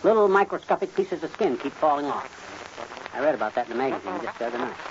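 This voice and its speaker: Boastful, folksy American husband played by a male actor, 1950s radio comedy, rapid delivery, hissy old recording. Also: medium pitch, dull audio, close to an everyday voice. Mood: chatty confidence. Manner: folksy, tongue-twisting wordplay, pompous phrasing.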